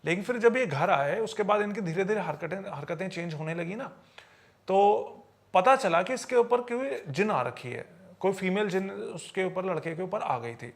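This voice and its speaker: A man with a high voice and a noticeable creak in it tells stories on a podcast. He talks conversationally, explains as he goes and keeps checking that the listener follows. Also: lively intonation, moderate pace.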